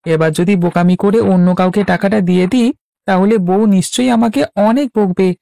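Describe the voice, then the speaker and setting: An actor voicing a naive, dithering young village husband who is anxious to please in a cartoon. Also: male voice, high-pitched, steady tone, brisk, vocal fry, mellow, dry recording, studio quality. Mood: worried, dithering. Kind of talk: Bengali cartoon voice acting.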